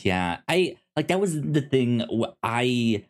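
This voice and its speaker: A man's voice: mid-range voice, very animated. A man chatting casually as a podcast co-host, rambling and often doubling back on his words as he gives his review. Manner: halting, rambling, repeats words.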